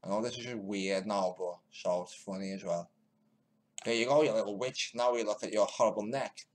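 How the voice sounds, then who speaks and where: A man's voice: lowish voice, brisk. A male stream host giving live, mocking commentary, laughing, swearing and muttering asides as he goes. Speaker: channel host.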